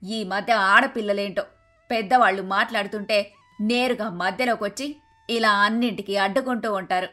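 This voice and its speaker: A woman playing a traditional, domineering and sharp-tongued older mother-in-law in a cartoon moral story. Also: mid-range voice, fairly even intonation, moderate pace, lightly acted. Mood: stern, scolding. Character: bossy, traditional, scolding older mother-in-law and household matriarch. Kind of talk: dramatised moral story dialogue. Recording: music behind the voice.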